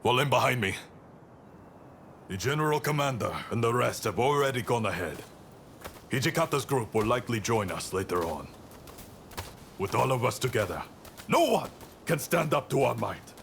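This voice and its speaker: A man playing a stern, resolute samurai commander in a video game cutscene, speaking in a measured, commanding way. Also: mid-range voice, lively intonation, fairly bright tone. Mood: grave, commanding. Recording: clear background noise, ambience.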